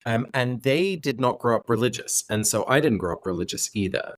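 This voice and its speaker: A man's voice: medium pitch, lively intonation, fairly quick, no echo. Thoughtful Englishman with a Dutch upbringing. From England. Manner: thoughtful, self-correcting, friendly. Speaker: podcast guest. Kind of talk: conversational interview.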